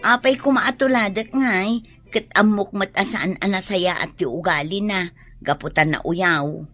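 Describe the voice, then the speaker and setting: An actress with an Ilocano accent playing a concerned, gossipy village woman in a comic radio drama, expressive and questioning. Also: mid-range voice, a little slow, no echo, soft background music. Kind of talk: comedic radio drama acting.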